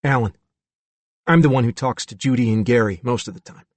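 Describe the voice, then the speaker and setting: A man's voice: mid-range voice, brisk, dry recording, close to an everyday voice. Reader doing a chatty friendly young guy, easygoing, dry sound. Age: young adult. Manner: casual, friendly.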